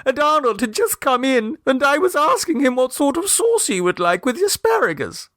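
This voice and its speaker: A man's voice: very high-pitched, very animated, normal speed, a bit bright, dry recording, studio quality, pitched above the performer's own voice, full character voice. A man voicing a distraught, tearful young mother in a storybook reading, wailing and sobbing. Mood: panicked. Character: distraught, shrill, tearful young mother, upper-middle-class Edwardian.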